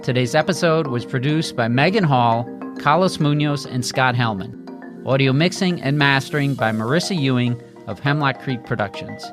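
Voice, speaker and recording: A man with a mid-pitched voice reads as a podcast host. His scripted narration sits over clearly audible music. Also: normal speed.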